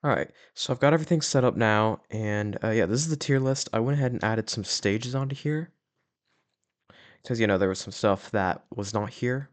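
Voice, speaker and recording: A man's voice: lowish voice, moderate pace. A young man hosting his own channel, chatting casually through a tier list with a sore throat, often trailing into "uh" and "you know.". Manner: rambling, with many "uh"s and "you know"s. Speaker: YouTube host ranking animatronic stages.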